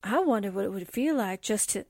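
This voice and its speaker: A woman's voice: mid-range voice, expressive, fairly quick, a little mellow, dry recording, studio quality. A woman playing a brash, wide-eyed newspaperwoman sidekick, slangy and exclaiming, in 1940s radio drama style. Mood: awestruck.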